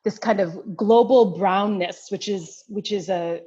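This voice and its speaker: A middle-aged woman from the United States speaks as an interview guest, mid-pitched and thoughtful, often restarting sentences and reaching for words. The recording sounds dull and lo-fi. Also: unhurried, mellow. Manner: thoughtful, many restarts and hedging fillers. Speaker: guest anthropologist. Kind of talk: casual interview answer.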